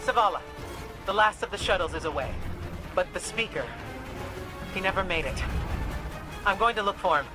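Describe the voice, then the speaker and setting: An actress playing a fierce, grave warrior-mage in a video game, intense and defiant. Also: female voice, mid-range voice, lively intonation, moderate pace, pauses often, music in the background, lightly acted. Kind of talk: video game cutscene acting.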